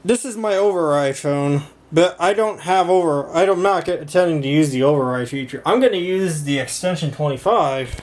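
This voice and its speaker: A man's voice: fairly high pitch, brisk. A man giving a casual, informal walkthrough demonstration as a video host, rambling in stop-start phrases. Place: home rooms and workshop. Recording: clearly noisy room with clicks.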